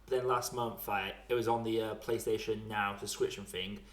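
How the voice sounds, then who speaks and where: A man's voice: on the low side, steady tone, moderate pace. A man chatting casually about video games in a colloquial, self-deprecating way. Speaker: podcast speaker. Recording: roomy, echoey sound.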